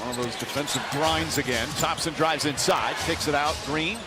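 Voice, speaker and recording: A male play-by-play announcer with a fairly high voice calls basketball live. His phrases are short and clipped. Very loud arena noise surrounds him in the relayed broadcast. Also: moderate pace. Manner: clipped phrases following the action. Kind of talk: live sports commentary.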